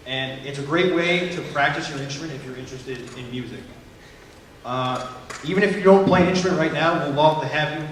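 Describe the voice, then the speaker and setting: A man's voice: a little high, pushed and bright, slight background noise. A teenage boy, a student drum major, presenting nervously and self-consciously to younger students. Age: teens. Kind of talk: short school presentation. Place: school auditorium. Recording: echoey hall from far off.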